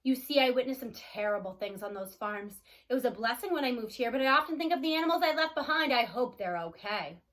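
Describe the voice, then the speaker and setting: A woman's voice: a little high, animated, fast, hardly any pauses, fairly bright tone. A woman voicing an old female cow in a storybook, stern and earnest in manner. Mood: somber, earnest. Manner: scolding, earnest.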